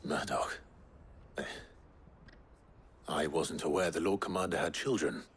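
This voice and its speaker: An actor playing a weary, humble outlaw lord in a video game, speaking in a measured, formal, understated way. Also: male voice, husky, exaggerated voice. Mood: grave, businesslike. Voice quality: deep, low voice. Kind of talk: video game cutscene acting.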